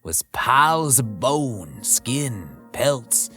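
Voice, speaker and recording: A man's voice: medium pitch, big pitch swings, very slow, bright, forceful tone. Man narrating a gritty western tale. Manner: folksy frontier talk with crude slang. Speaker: audiobook narrator. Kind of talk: first-person western horror storytelling. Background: background music.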